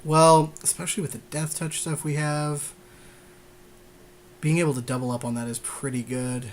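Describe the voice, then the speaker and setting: A man's voice: a little high, little pitch movement, normal speed, a little hiss or hum. A man co-hosting a podcast, bantering casually as he comments on a card game draft. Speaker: podcast co-host.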